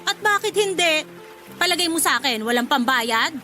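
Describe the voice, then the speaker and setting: A high-pitched, demanding middle-aged mother acted by a woman in a radio drama. She nags and wheedles expressively, pressing her grown children for what she wants. Faint music sits behind her. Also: normal speed. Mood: indignant, wheedling. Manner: nagging, expressive.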